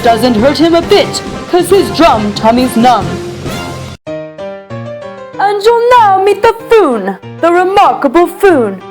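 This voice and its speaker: A woman performs an eager young boy from a rhyming storybook, boasting like a circus showman. The voice is high and hugely animated in pitch, with slow, emphatic, singsong delivery over music. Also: heavily acted.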